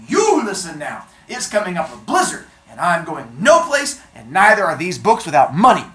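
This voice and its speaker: A reader voicing a gruff older male bookshop owner with a thick accent, shouting and haggling over the phone. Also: mid-range voice, reverberant, pitched above the performer's own voice, close to an everyday voice.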